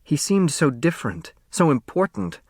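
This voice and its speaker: A man's voice: on the high side, sing-song, moderate pace, a little mellow, dry recording, studio quality. A man narrating a story from a script, in the dramatic style of an audiobook.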